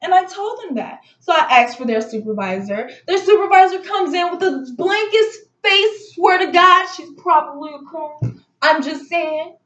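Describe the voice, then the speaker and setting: A woman telling a personal story casually, in an animated, indignant way, acting out dialogue as she goes. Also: very high voice, moderate pace. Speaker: storytelling vlogger. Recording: muffled audio in echoey room.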